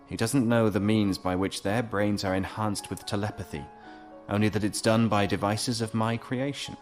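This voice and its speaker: A man acts out a brilliant, self-satisfied inventor who will not be rattled by his captors. His low voice drawls with suave, measured condescension. It is science fiction radio play acting, with faint music underneath. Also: close to an everyday voice. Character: smug, unflappable male genius inventor held prisoner.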